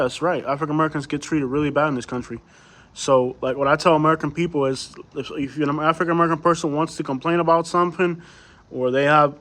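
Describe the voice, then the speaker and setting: A man's voice: fairly high pitch, fairly bright tone. A man from Cuba, a native Spanish speaker, hosting a livestream with casual, conversational chat full of rhetorical questions. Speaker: livestream host. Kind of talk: casual chat, answering live viewer comments.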